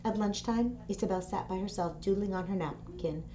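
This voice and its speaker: A woman's voice: mid-range voice, normal speed, dark tone. Woman presenter, slightly dull noisy recording. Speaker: school staff presenter. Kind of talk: video announcements and picture-book read-aloud. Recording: dull audio with faint noise.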